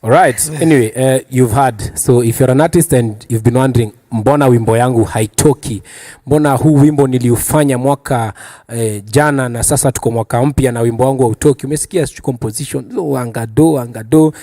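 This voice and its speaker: A man's voice: mid-range voice, a little fast, warm tone. A man with a Kenyan accent hosting a casual panel chat, teasing his guests with questions and switching between languages. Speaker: talk show host.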